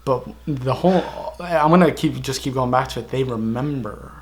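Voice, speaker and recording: Halting man in casual reaction talk. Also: mid-range voice. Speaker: host of a reaction video channel.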